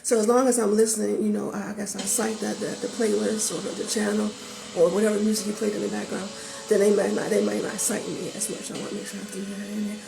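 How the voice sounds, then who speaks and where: A woman's voice: medium pitch, level tone, quick pace, vocal fry, noisy background. A woman raised in Georgia with a Southern accent, chatting casually on her vlog and rambling with lots of restarts. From born in Maryland, raised in Georgia. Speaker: vlogger. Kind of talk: casual chat to camera. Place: visiting a home in California. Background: music playing in the background.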